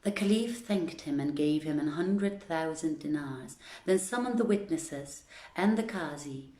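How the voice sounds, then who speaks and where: Low-voiced woman reading in an echoey room. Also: measured pace, mellow. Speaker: reader of stories to an online audience. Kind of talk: literary storybook reading with chatty greeting.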